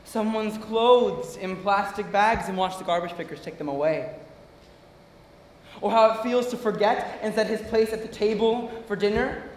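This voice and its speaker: High-voiced, wounded young man played by a male actor. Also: fairly bright tone, heavily acted. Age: young adult. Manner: emotional, halting repetitions, emphatic. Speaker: student actor. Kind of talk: theatrical stage monologue. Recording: echoey room.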